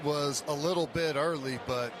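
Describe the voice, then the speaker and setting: A man's voice: a little high, normal speed. An American man giving conversational, explanatory color commentary on a live football radio broadcast. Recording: noisy stadium radio broadcast.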